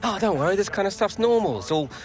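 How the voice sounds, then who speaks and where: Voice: medium pitch, expressive, quick pace, faint music behind, natural voice. An actor playing a glib, reassuring football agent in a video game cutscene. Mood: breezy, reassuring.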